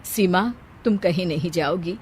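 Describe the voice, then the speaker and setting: A woman's voice: fairly low pitch, measured pace, a little mellow, husky. A woman voicing a kind, caring elder sister in a children's story. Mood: gently firm. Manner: caring, obliging.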